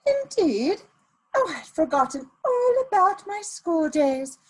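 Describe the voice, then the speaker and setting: Woman acting a witty scheming society lady, high swoopy voice, coy and polished, period comedy. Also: sing-song, slow pace, exaggerated voice, rough recording. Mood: arch, polite. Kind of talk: dramatic reading of a period stage comedy.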